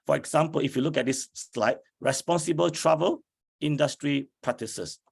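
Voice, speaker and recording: A man, an academic, giving a slide lecture in a hoarse voice, speaking deliberately with many fillers. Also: a little high. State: hoarse from flu.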